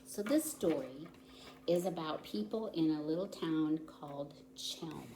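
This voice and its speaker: Slow-speaking woman at children's story time. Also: low-pitched, dark tone. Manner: simple, explanatory, addressing small children with questions. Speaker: volunteer story reader for young children. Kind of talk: children's story time, read-aloud with chatty asides. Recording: roomy echo with audible background noise.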